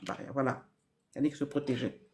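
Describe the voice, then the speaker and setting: A woman's voice: rumbling low, fairly even intonation, brisk, warm tone, slight background noise. Earnest woman giving spiritual advice. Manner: earnest, repeats key phrases, asks rhetorical questions. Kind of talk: live-stream spiritual advice.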